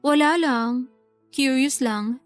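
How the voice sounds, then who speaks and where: A woman's voice: high-pitched, slow, no echo, faint music behind. A woman acting a teasing, guilty lover in a secret affair, with a Filipino accent, in a radio love drama. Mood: placating.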